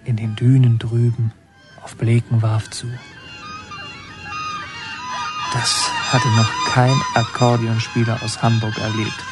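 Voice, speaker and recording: An actor playing a reflective narrator who recalls a northern childhood in a measured, evocative radio drama manner. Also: male voice, medium pitch, level tone, close to an everyday voice. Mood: urgent recollection. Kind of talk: literary radio drama narration, present tense. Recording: music under the voice. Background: music.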